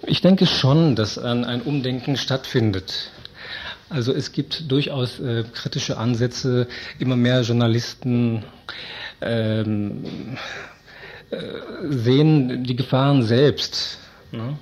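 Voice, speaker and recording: A man answering as an interviewed expert, speaking in a deliberate, academic and hesitant way. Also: mid-range voice, a little mellow. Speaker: interviewed expert on media and political discourse. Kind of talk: explanatory radio interview. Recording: dull, lo-fi radio recording.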